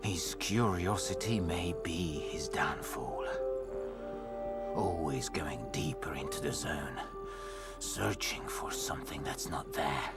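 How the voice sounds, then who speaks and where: A man voicing a grave, solemn old leader of survivors in a video game, with halting delivery. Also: very deep voice, over loud music, exaggerated voice. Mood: solemn, pleading. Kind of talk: video game character acting.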